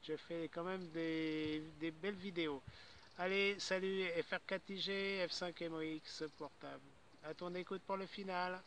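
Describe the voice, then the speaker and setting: A man's voice: sits high, fairly even intonation, a little slow, a bit bright, a little hiss or hum. A man chatting casually as an amateur radio operator, rambling and repeating his words as he goes. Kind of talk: casual ham radio chat.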